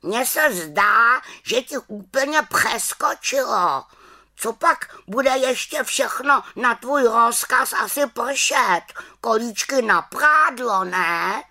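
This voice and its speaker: A woman's voice: on the high side, expressive, sharp tone, pitched above the performer's own voice, full character voice. A woman voicing a wise, nagging male raven in a children's storybook, scolding and squawking as it talks. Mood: mocking. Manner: scolding, exclaiming, squawking delivery.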